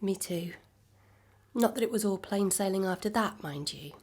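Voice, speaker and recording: An actress playing a devoted, forgiving young girlfriend in an intimate audio drama, conversational and softly reassuring. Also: medium pitch, moderate pace, natural voice. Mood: teasing, fond.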